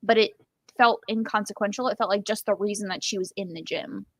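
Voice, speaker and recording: A woman's voice: mid-range voice, steady tone, brisk, a bit bright, no room sound. Wry woman, dry close sound, chatting. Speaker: book podcast co-host. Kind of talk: casual book discussion.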